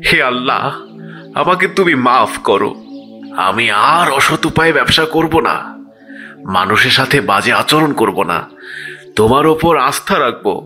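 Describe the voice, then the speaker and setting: A greedy cartoon shopkeeper, a man forever grumbling with envy. His delivery swings widely in pitch with a bright, sharp edge, exclamatory and melodramatic. Some music sits behind the voice. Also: animated, moderate pace, long pauses. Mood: remorseful, pleading. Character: greedy, grumbling, envious shopkeeper in a moral fable. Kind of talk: cartoon voice acting.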